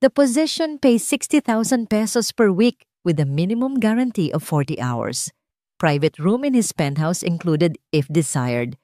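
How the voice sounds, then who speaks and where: A woman's voice: lowish voice, expressive, measured pace, mellow, dry recording, studio quality. A woman with a Filipino accent voicing a courteous, businesslike female executive assistant in an audio story, formal and professional.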